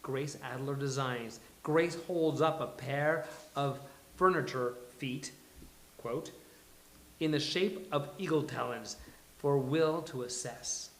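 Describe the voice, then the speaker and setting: A man hosting a live table read, announcing and reading stage directions in a halting, deliberate way. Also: mid-range voice, a bit bright. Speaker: host and stage-direction reader. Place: live venue with audience. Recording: echoey live venue.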